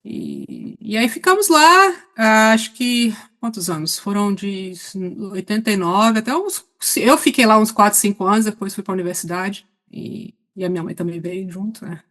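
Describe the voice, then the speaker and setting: A middle-aged woman with a Brazilian accent chatting casually as a guest, halting as she searches for words. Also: medium pitch, sing-song, a bit bright. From Salvador, Brazil. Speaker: podcast guest. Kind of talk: casual autobiographical chat.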